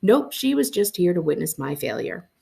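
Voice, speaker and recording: Very creaky woman reading a storybook. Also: lowish voice, big pitch swings, moderate pace, mellow. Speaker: librarian reading aloud. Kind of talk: expressive first-person storybook narration.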